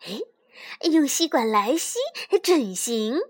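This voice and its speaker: A woman voicing a shy, gentle bunny boy. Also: fairly high pitch, expressive, takes it slowly, slightly rough, heavily acted. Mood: musing.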